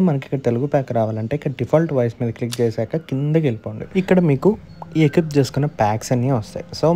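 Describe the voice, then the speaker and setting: A man's voice: mid-range voice, warm tone, slight background noise. A man with a Telugu Indian accent narrating a tutorial as a gaming channel host.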